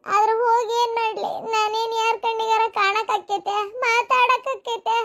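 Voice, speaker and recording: A woman's voice: very high-pitched, flat delivery, normal speed, fairly bright tone. A woman voicing the grieving, restless ghost of a young mother in a melodramatic cartoon story, lamenting with a North Karnataka accent. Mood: anguished, tearful. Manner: plaintive, lamenting.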